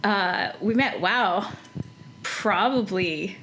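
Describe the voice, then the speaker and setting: A woman's voice: mid-range voice, extremely slow, a bit bright, slight background noise, low-quality recording. A woman hosting a podcast in a chatty, effusive way, moving between scripted introductions and casual interview talk. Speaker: podcast host.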